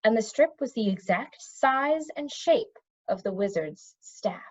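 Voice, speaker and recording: Woman, read-aloud narration, fantasy storybook, very lively pitch, slightly dull lo-fi sound. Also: measured pace. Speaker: storybook reader.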